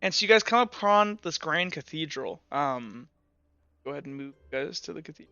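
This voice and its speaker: A man's voice: fairly high pitch, expressive, normal speed, creaky, bright, forceful tone, no echo, lo-fi sound. A man who narrates as game master, describing scenes in a loose, rambling way full of "like".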